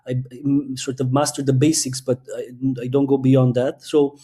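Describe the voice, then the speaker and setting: Warm-voiced man, thoughtful guest. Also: medium pitch, flat delivery, normal speed, breathy edge. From Romania. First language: Romanian. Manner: thoughtful, explains at length with frequent restarts. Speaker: podcast guest. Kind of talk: casual interview talk.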